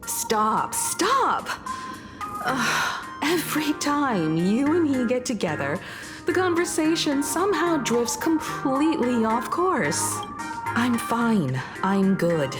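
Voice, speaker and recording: A woman voicing a capable, self-assured young navigator in a video game, speaking in a firm, crisp manner. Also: on the high side, big pitch swings, takes it slowly. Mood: exasperated. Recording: music behind the voice.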